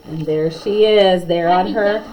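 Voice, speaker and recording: A woman's voice: lowish voice, measured pace, noisy background. A woman reading a children's bedtime story aloud expressively, with chatty asides to young listeners.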